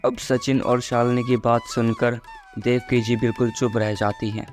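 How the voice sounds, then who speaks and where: A man's voice: mid-range voice, little pitch movement, normal speed. A man narrating a scripted moral story. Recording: music behind the voice.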